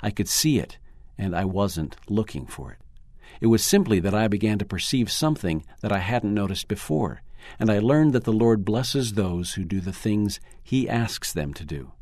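Deep-voiced man reading an article. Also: animated, normal speed, darkish tone, no echo, clean studio sound. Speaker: narrator. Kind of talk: scripted reading of a first-person article.

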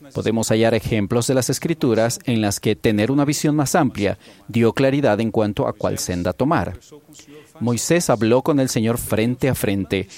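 Calm low-voiced man giving a sermon. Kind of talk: measured sermon delivery.

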